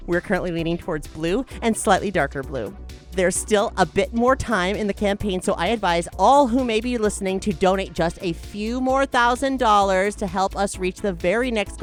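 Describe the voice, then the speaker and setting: A woman's voice: mid-range voice, lightly acted. A woman playing an earnest, self-satisfied campaign manager giving a formal, gushing statement in a comedy audio drama.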